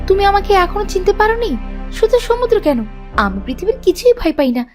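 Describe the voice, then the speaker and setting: Woman voicing a high-pitched, defiant young village heroine. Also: sing-song, fast talker, a little mellow, faint music behind. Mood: indignant, proud. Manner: defiant, emphatic. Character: bold, stubborn, quick-to-sulk young village woman. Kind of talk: Bengali fairy-tale cartoon dubbing.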